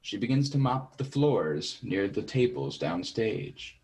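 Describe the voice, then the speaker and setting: A man's voice: fairly low pitch, very animated, measured pace, darkish tone. A man reading a play's stage directions as scripted narration.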